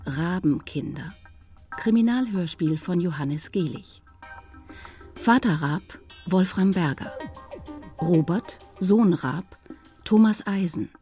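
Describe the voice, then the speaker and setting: A woman's voice: lowish voice, expressive, slow, pauses often, dark tone, faint music behind. A woman announcing scenes and credits for a radio drama in formal, clipped scripted phrases.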